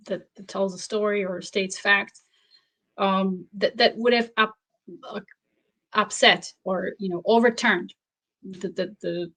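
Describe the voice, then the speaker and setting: A woman author whose first language is Slovak, answering interview questions thoughtfully, with pauses, restarts and frequent laughs. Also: mid-range voice, fairly bright tone, rough recording. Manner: thoughtful, halting, laughs often. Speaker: author and researcher guest. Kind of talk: interview conversation.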